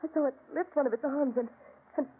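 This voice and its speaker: An actress playing a nervous, wisecracking young assistant in an old-time radio drama, with a jittery, wry, theatrical delivery. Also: female voice, high voice, fast, close to an everyday voice. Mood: alarmed. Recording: muffled, slightly noisy vintage radio broadcast.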